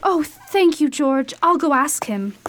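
A girlish, very high-voiced young bride-to-be, acted by a woman, willful and earnest in a radio play. Clear train-like noise runs behind her. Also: expressive, measured pace, a bit bright. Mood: pleading, eager. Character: eager, willful young fiancée, an orphan girl. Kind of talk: radio drama acting.

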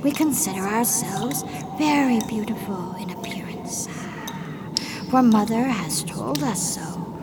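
A woman's voice: mid-range voice, expressive, slow, very noisy. A woman playing a vain young dragonette with a formal, haughty manner in a radio play. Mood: haughty, offended. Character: haughty, vain young dragonette, female-voiced, tied in a cave. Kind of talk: radio theater acting.

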